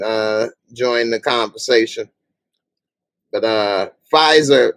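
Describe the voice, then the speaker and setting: A man's voice: mid-range voice, no echo. A middle-aged man hosting a live panel, chatting casually and informally with a joking way of talking. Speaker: host of a live panel show. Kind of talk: casual group chat. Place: online group call.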